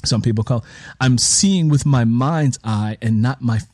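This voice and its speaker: Male interviewer, mid-range pitch, reflective and emphatic, repeating phrases for stress; conversational delivery, dry close sound. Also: measured pace, darkish tone, dry recording. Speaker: interviewer and writer. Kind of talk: reflective conversational interview talk about writing.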